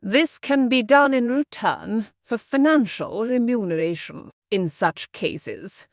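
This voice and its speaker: A woman's voice: on the high side, slow pace, dry recording, studio quality. A woman reading scripted practice passages aloud for an English exam lesson.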